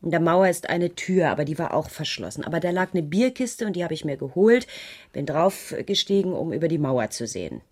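An actress in a German radio crime play playing an uneasy married schoolteacher who gives a hesitant, detailed account to police. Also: female voice, sits low, expressive, a little fast, darkish tone. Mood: uneasy, recounting. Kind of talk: 1980s German radio drama dialogue.

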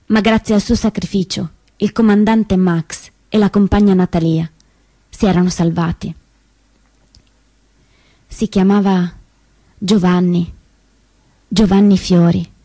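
A woman performing scripted theatrical storytelling, with dramatic, emphatic and deliberate phrasing that moves between hushed and declamatory. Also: medium pitch, breathy edge. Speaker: solo theatre performer and narrator. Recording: roomy stage sound, faint music behind.

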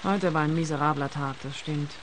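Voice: medium pitch, fairly quick, slight background noise, natural voice. An actor playing a cheeky, flirtatious hairdresser with a menacing edge in a radio play, teasing and provocative. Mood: matter-of-fact.

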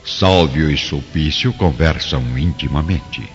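A man's voice: very deep voice, a little slow. A man with a Brazilian accent narrating a radio soap in a solemn, dramatic, scripted style. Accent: Brazilian Portuguese. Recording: reverberant, dull lo-fi, music behind.

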